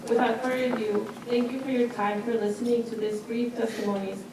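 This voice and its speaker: A woman interpreter reading a translated testimony aloud at a city hearing in a steady, plain way. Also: fairly high pitch, normal speed. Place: public meeting hall. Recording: very echoey hall, distant mic, noise.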